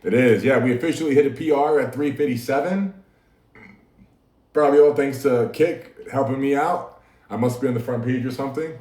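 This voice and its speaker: A man's voice: medium pitch, a little fast, a little mellow. A man hosting a casual livestream, talking easily to his chat and laughing often. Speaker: livestream host. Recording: echoey room, mic at a distance.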